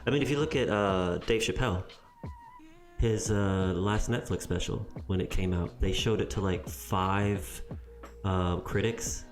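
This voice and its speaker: Deep-voiced man rambling on a podcast. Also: measured pace, faint music behind. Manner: rambling, restarts mid-sentence. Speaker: podcast co-host. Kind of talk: casual podcast chat.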